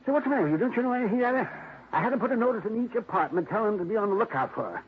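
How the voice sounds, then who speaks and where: An actor playing a fussy, excitable man in a 1950s radio drama. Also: high-pitched, very fast, slight background noise. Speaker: dog owner reporting a theft. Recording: tinny 1950s radio broadcast.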